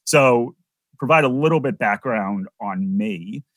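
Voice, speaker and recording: A middle-aged American man, a podcast guest, explaining investing in a relaxed, conversational way with many "you know"s. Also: medium pitch, measured pace, no room sound. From United States. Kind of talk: podcast interview.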